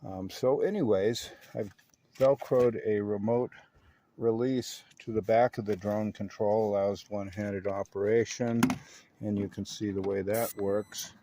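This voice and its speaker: A man's voice: on the low side, fairly even intonation, unhurried, warm tone, a little hiss or hum. A man narrating his own hobby video in a casual, folksy and self-assured way, with slangy turns of phrase.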